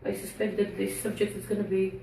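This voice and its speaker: A woman's voice: medium pitch, little pitch movement, fairly quick, creaky, mellow, a little hiss or hum. A woman organising a community meeting, speaking casually and hesitantly. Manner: casual, hesitant, laughs easily. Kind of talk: informal community talk. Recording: echoey room, distant mic.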